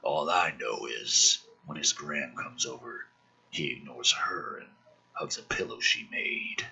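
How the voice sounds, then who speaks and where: A gruff, guarded military father acted out by a man reading a film script. The voice sits low and husky, with terse, subdued phrases that come out haltingly. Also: bright, forceful tone, exaggerated voice, rough recording. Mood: rueful, subdued.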